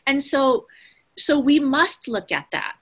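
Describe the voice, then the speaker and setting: Bright-voiced, earnest woman in conversation. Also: high voice, animated, slow pace. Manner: earnest, emphatic, casually sweary. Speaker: spiritual teacher.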